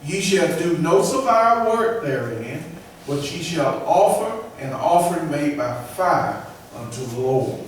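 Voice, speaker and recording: A man with a fairly high voice reads Bible verses aloud in measured, declamatory phrases. He sounds far from the mic, with heavy room echo around him. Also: big pitch swings. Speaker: scripture reader at a church lesson. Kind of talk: reading scripture aloud. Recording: very echoey room, distant mic, faint noise.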